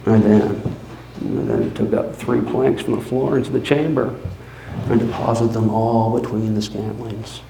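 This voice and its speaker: A man playing a boastful, unraveling mad murderer in a theatrical live reading of gothic horror, with dramatic pauses and swings between hushed and frantic. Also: medium pitch, fairly even intonation, gravelly, voice pushed down. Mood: sly, gloating. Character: gleeful, boastful, unraveling mad murderer confessing his crime. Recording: echoey room with background noise.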